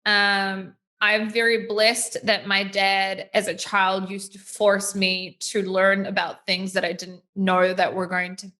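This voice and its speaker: Bright-voiced New Zealand woman in her thirties. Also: medium pitch, takes it slowly, few pauses. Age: 30s. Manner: lively and chatty, many fillers like "like" and "um". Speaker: podcast guest. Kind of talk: casual interview storytelling.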